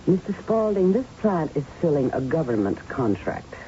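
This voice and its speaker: A young woman physician with a dark, expressive voice. She is earnest, formal and unbending. The sound is that of a muffled old radio drama. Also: deep voice, moderate pace. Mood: firm. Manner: firm, formal, precise. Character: earnest, principled young female plant doctor. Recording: muffled, faintly noisy old radio recording.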